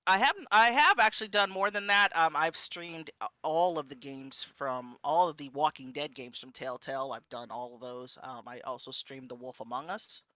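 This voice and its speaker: A man giving an informal panel talk and answering questions in a conversational, halting, self-correcting way. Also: a little high, pushed and bright, dry recording. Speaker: panel speaker and streamer.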